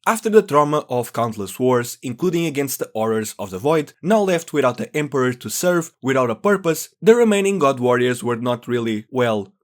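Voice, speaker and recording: A man's voice: medium pitch, very animated, brisk, hardly any pauses. A man presenting a video in scripted explainer narration, with informal asides.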